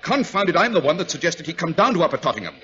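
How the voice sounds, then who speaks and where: An actor playing a courteous, brooding English gentleman detective with an upper-class accent, crisp and pensive, in a 1940s radio drama. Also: a little high, big pitch swings, racing. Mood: brooding, uneasy. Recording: muffled vintage radio broadcast with music.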